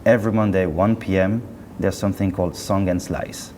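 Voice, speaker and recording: A man being interviewed as a company representative, chatting casually in a halting, self-correcting way. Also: low voice, steady tone, dark tone. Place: indoor hi-fi show. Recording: roomy hall, clear background noise.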